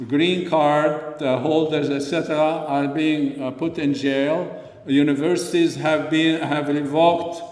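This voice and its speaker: An older man from Lebanon giving an earnest, unscripted speech at a community dinner, pausing often with fillers. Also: on the high side. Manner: earnest, frequent pauses and fillers. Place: large hall. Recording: echoey hall, voice from far off.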